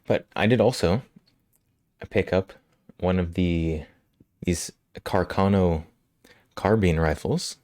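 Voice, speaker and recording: A male livestream host chatting casually with viewers, slightly hoarse from a cold, relaxed and quick to laugh. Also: deep voice, no echo, clean studio sound. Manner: relaxed, laughs often, answers chat. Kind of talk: casual chat with live chat. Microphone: studio microphone.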